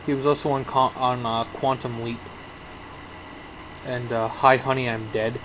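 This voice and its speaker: A man with a mid-range voice talks through cast lists in relaxed podcast chat. He hesitates now and then. A steady hum runs clearly underneath. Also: medium pitch, unhurried. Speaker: podcast co-host. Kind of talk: casual movie review chat.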